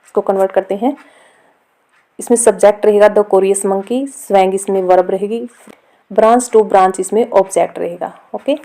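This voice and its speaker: A woman with an Indian accent explaining a lesson step by step as a teacher, reading out examples slowly and deliberately. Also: medium pitch, steady tone, dark tone. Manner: instructional, reads example sentences slowly and deliberately. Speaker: grammar teacher. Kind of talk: step-by-step lesson explanation mixing Hindi and English.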